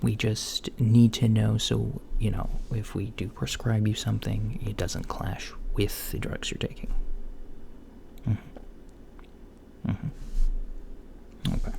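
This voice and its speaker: A man doing a soft-spoken, partly whispered ASMR roleplay as a calm, reassuring doctor, murmuring hesitantly with long pauses. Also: on the low side, gravelly. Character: calm reassuring male alien doctor at a checkup. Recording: lo-fi with faint background noise.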